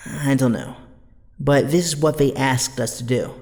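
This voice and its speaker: A gentle, conciliatory teenage hero acted by a man in an audio drama. His mid-pitched voice is slightly hesitant and carries a long reverb. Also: unhurried, close to an everyday voice. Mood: conciliatory, resigned.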